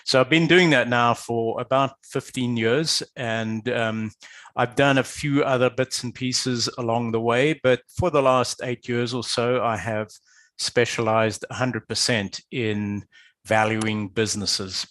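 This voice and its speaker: A man from Zimbabwe, a guest expert, answering interview questions in a measured, explanatory way with some hesitation. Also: medium pitch, steady tone, a bit bright, dry recording. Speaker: guest expert, business valuator. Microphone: video call.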